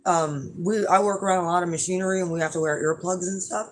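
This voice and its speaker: A hoarse middle-aged woman chatting casually to her viewers as an astrology reader, rambling and blunt with lots of fillers. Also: fairly low pitch, fairly even intonation, fast. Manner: rambling and blunt, with frequent fillers and some swearing. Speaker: astrology reader and channel host.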